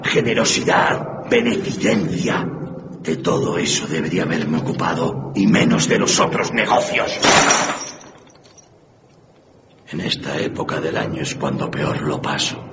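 An actor playing the tormented, remorseful ghost of a dead businessman in a radio drama, solemn and lamenting with drawn-out emphasis. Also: deep voice, heavy background noise, exaggerated voice. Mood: anguished remorse. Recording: dull muffled recording under loud music.

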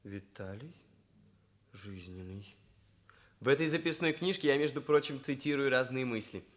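An actor playing an earnest, self-important young trainee hairdresser in a radio drama, speaking in solemn, pedantic, stilted phrases. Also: male voice, medium pitch, normal speed, close to an everyday voice. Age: young adult. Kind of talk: Soviet radio drama acting.

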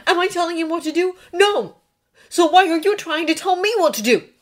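A woman's voice: high-pitched, animated, fairly quick, fairly bright tone, pitched above the performer's own voice. A woman voicing a sarcastic, stubbornly loyal teenage boy wizard with a hot temper, in emphatic, defiant audiobook dialogue. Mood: angry, defensive.

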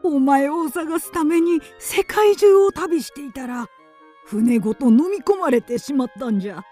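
Kindly elderly father for radio drama, female actor, mellow and slow-spoken, faint music underneath. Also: high voice, expressive. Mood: weary relief. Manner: old-man speech, tender and doting. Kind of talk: Japanese radio drama acting.

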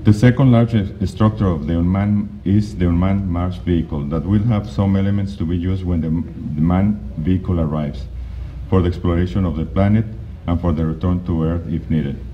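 Low-voiced man presenting slides. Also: steady tone. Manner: halting, with frequent restarts and fillers. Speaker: conference presenter. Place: conference hall. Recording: dull, lo-fi recording with faint noise.

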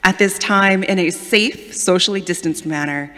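A woman leading worship, addressing a congregation in a measured, deliberate way from a pulpit microphone in a large church. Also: fairly low pitch, fairly bright tone. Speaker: worship leader. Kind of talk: liturgical reading and welcome to congregation. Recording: long church reverb.

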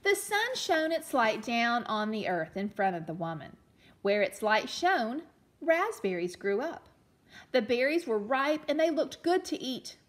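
A woman reading a storybook aloud to young children as a teacher, expressively, pausing to explain and ask questions. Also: on the high side, big pitch swings. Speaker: elementary teacher reading a picture book aloud.

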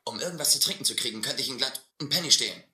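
An actor playing a desperate, educated drifter who drinks hard, rambling and pleading in halting bursts in a radio adventure play. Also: male voice, mid-range voice, expressive, pushed and bright, echoey room. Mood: boastful, pleading. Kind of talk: German audio adventure drama.

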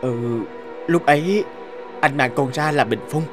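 A man's voice: fairly high pitch, unhurried, fairly bright tone, faint music behind. A man voicing a defensive young husband in a cartoon, speaking bluntly. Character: young husband, guilty then angry. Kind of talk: cartoon dub acting.

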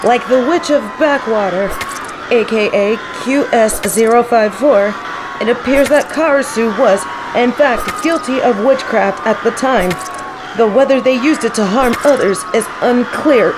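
Woman acting slow-spoken earnest professor amid cawing crows. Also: a little high, lightly acted. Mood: grim awe. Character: shaken, earnest woman professor agent documenting a cursed supernatural entity. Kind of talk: dramatic audio roleplay acting. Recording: noisy outdoor ambience with cawing crows.

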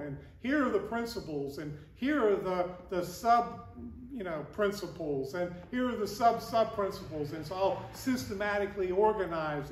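Older man, priest, high voice, conversational sermon, echoey church, distant camera. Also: slight background noise. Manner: conversational, gestures broadly while preaching. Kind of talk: gospel reading and sermon. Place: large wooden church with stained glass, indoors. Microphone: far camera.

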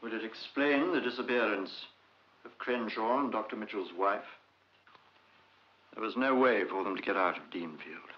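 An actor playing a sceptical, probing investigator in a 1950s film drama, formal and questioning. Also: male voice, lowish voice, normal speed, lightly acted. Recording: muffled 1950s film soundtrack.

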